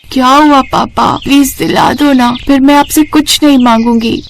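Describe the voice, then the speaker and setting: A woman's voice: high-pitched, fairly even intonation, normal speed, a little hiss or hum. A woman playing a sweet, eager young daughter in a children's story. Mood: pleading.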